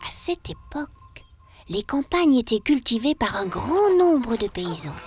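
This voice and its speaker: A woman playing a kindly, lively guide who narrates history for children in a clear storytelling manner. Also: on the high side, unhurried, loud music behind the voice.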